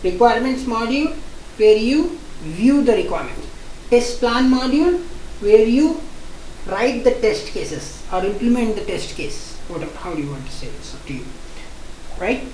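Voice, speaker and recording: A woman with an Indian accent teaching an online software testing course, explaining quickly and repeating points with frequent restarts. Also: a little high, expressive, slight background noise. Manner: repetitive, restarts often, fast-paced explaining. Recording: echoey room.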